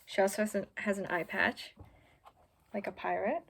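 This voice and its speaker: A woman's voice: medium pitch, fairly even intonation, moderate pace, vocal fry, slight background noise. A young woman in her teens reading a children's picture book aloud, adding chatty, informal asides for young listeners. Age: teenager. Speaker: student volunteer reader.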